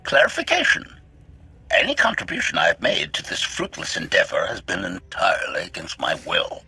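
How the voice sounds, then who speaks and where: Harsh, sharp robotic assassin droid. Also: male voice, sits low, huge pitch swings, normal speed, breathy edge, dry recording, exaggerated voice. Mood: grudging, contemptuous. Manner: clipped, announces each statement with a label. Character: stiff, hostile assassin droid, grudgingly obedient. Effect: robotic voice filter. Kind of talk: video game voice acting.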